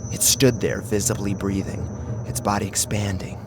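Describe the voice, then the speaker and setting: A man's voice: fairly low pitch, little pitch movement, moderate pace, very noisy. A man reading a scripted first-person horror story as a narrator, with a dramatic, theatrical delivery. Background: loud music.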